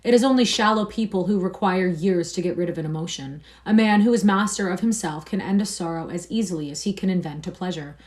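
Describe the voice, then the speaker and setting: A woman reading aloud as a vain young gentleman in a storybook reading. He drawls languidly and brushes things aside, pitched a little low. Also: fast talker, few pauses, natural voice. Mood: languid dismissive. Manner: languid and dismissive.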